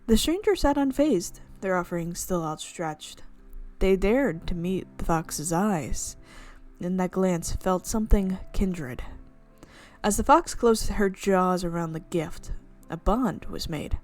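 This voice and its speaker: Expressive woman reading a game aloud. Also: fairly low pitch, slow pace, soft background music. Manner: expressive reading with playful reactions. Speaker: game streamer. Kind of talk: reading a visual novel aloud.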